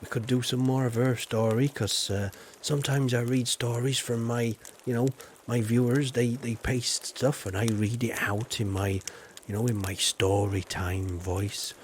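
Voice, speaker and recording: A man's voice: mid-range voice, fairly even intonation, measured pace, a little hiss or hum. A man hosting a livestream, chatting to viewers in a rambling, folksy way full of filler. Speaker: livestream host. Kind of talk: casual livestream chat to viewers.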